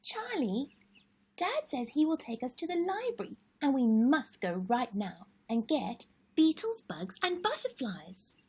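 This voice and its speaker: Lola, a woman doing a squeaky little girl. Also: big pitch swings, a little slow, heavily acted. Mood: pleading. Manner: high, pleading, sing-song. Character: excitable little girl, bug-mad and insistent. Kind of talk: storybook read-aloud.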